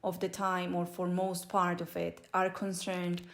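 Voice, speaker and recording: A woman's voice: fairly low pitch, completely flat delivery, measured pace. A woman giving an explanatory answer on a company podcast about PR work.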